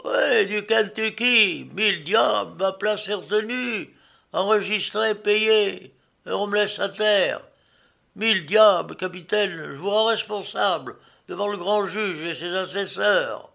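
A man voicing a loud, excitable, indignant fat American passenger in a storybook, shouting volubly. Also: sits high, a little slow, vocal fry, pitched above the performer's own voice, heavily acted. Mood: outraged.

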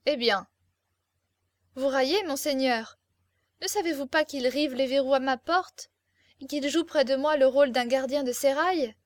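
Woman playing a capricious young beauty, high pitch, imperious, impassioned, theatrical, storybook captive. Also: a little slow, long pauses, no room sound. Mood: indignant. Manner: impassioned, demanding, theatrical exclamations. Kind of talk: dramatic storybook reading.

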